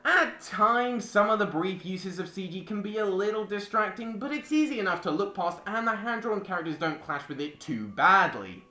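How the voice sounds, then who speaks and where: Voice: medium pitch, animated, normal speed, hardly any pauses, sharp tone. A young adult reading a scripted video essay as a film reviewer, with music behind. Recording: roomy voice-over with music behind.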